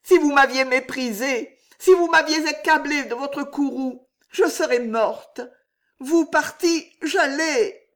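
Woman reader does a scheming young court lady: high, breathless, emotional. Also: takes it slowly. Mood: passionate.

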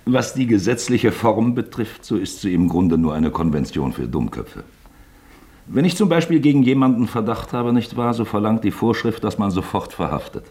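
Sly genial investigator, lowish voice, swooping intonation, musing, affable, radio play. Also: male voice, lively intonation, darkish tone. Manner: affable, musing, pointedly drawn-out. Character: sly, genial male investigator toying with suspect. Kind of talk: German radio drama acting.

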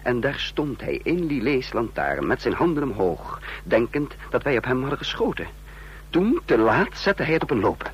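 An actor playing a tense, commanding conspirator and first-person narrator in a radio drama, emphatic and dramatic. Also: male voice, medium pitch, big pitch swings, normal speed, highs cut off, close to an everyday voice. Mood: tense narration. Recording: noisy, humming old radio recording.

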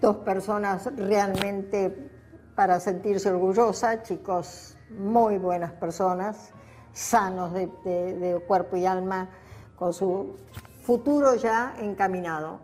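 A woman's voice: mid-range voice, takes it slowly, darkish tone, faint music behind. An older woman with an Argentine accent gives a personal testimony, recollecting in a reflective, spontaneous way with emphatic repetition. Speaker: interviewee giving personal testimony.

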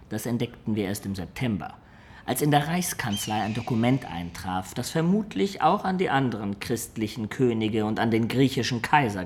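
A man's voice: lowish voice, slight background noise, lightly acted. An actor playing a wily adventurer who confides his past like a storyteller in a radio drama.

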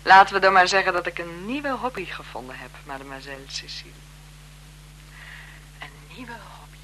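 Haughty, secretly seductive noblewoman, played by an actress, poised and evasive, lively intonation, vintage radio drama with noisy sound. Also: lowish voice, normal speed, natural voice. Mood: cool, evasive. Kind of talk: 1970s Dutch radio drama acting.

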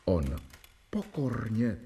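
A man narrating a radio drama in a measured way, reading out records. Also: lowish voice, big pitch swings, gravelly, warm tone, distant mic. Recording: voice set back from the mic.

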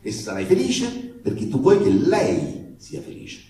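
A man acting a protective, bitterly comic father who advises his daughter's young boyfriend in a theatrical monologue, halting and emphatic, moving between tenderness and sarcasm. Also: a little high, huge pitch swings, dark tone, a little hiss or hum. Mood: wry, sardonic. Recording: very echoey room, distant microphone.

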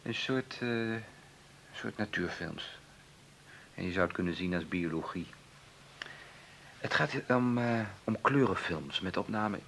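An actor in a Dutch radio play playing a brash, broke lawyer who talks in a glib, slangy, persuasive way. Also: male voice, low-pitched, lively intonation, measured pace, creaky, pauses often, a bit bright, full character voice. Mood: slick, persuasive. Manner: glib, slangy, persuasive, hesitating over delicate words. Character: brash, scheming lawyer brother, broke and pushy. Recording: hissy old radio play recording.

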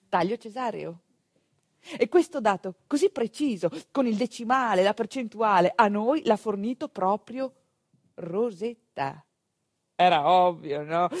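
A woman delivers a theatrical monologue to an audience, emphatic yet conversational, with deliberate pauses and repetitions. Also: mid-range voice, dry recording, clean studio sound. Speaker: stage actress and monologist.

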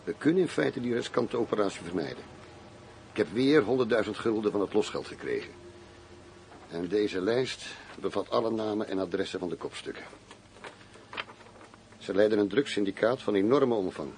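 A man acting a determined police commissioner in a radio play, speaking in a firm, measured manner. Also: lowish voice, lively intonation, darkish tone. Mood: businesslike. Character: determined foreign police commissioner working undercover, male. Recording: faint noise, radio play recording.

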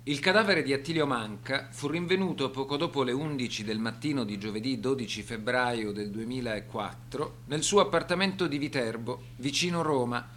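A man's voice: mid-range voice, normal speed, few pauses, fairly bright tone, slight background noise. Formal male narrator, mid-range voice. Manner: formal, factual, carefully phrased. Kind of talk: scripted documentary narration for radio drama.

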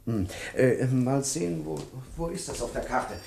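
An actor playing an affable, obliging military captain, easygoing and thinking aloud, in a German radio play. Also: male voice, mid-range voice, expressive, normal speed, creaky, darkish tone, a little hiss or hum. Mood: helpful.

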